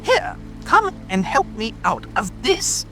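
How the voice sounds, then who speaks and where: High, fussy, bossy rabbit. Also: male voice, huge pitch swings, slow pace, vocal fry, sharp tone, exaggerated voice. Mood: irritable, bossy. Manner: angry, imperious, exclamatory. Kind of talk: dramatic audiobook character acting. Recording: music and rain ambience behind. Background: music, rain.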